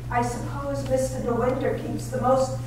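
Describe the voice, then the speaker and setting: A woman's voice: sits high, little pitch movement, moderate pace, a little mellow, lightly acted. A woman playing a timid, polite young bride in a staged radio drama. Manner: hesitant, polite, apologetic. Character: timid, eager-to-please young bride, new to manor life. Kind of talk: readers-theater radio drama acting. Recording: very echoey, distant, faintly noisy stage recording.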